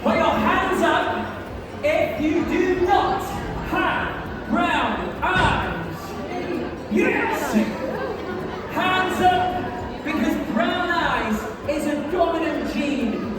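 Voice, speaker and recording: An English science show presenter, lively and coaxing a young audience with questions, in a large museum hall. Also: extremely high pitch. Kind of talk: live science show for children. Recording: echoey hall, mic far off, crowd noise.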